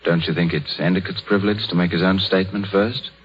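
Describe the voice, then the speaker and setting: An actor playing a young, idealistic, self-sacrificing surgeon with a wry bitterness in a 1930s radio drama. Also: male voice, low-pitched, fairly even intonation, fast talker, husky. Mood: grave, restrained. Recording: muffled 1930s radio broadcast with music.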